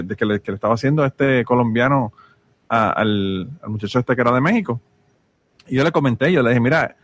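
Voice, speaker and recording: A man's voice: medium pitch, no room sound. Man, casual podcast co-host, salty storyteller. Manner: storytelling, swears freely, many restarts. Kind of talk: casual chat.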